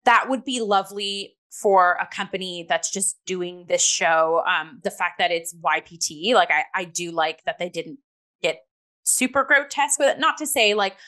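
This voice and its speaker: A woman's voice: lowish voice, normal speed, bright, forceful tone. A woman chatting casually as a talk host reviewing theatre, acting out little asides as she goes.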